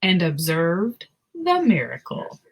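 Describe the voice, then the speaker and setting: Husky, lilting woman reading picture books. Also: on the low side. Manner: expressive, measured storytelling. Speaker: host of a picture book read-aloud. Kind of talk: storybook read-aloud with casual asides.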